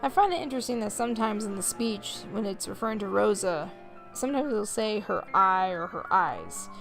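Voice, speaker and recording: A woman hosting a let's play, reading visual novel text aloud in a measured way with casual asides. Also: mid-range voice, lively intonation, a bit bright, soft background music. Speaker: host of a gaming video series.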